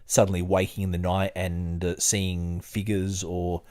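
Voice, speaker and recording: Low-pitched man, podcast host. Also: flat delivery, darkish tone. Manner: hesitant, halting, digressive when talking. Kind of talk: casual chat and reading aloud.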